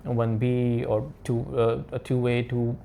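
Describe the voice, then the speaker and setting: A man with a creaky, mellow voice explains things as an expert guest. His English is halting and repetitive, with non-native phrasing, and a faint low rumble of noise sits behind him. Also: completely flat delivery. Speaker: diamond expert, interview guest. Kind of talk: casual expert explanation in interview.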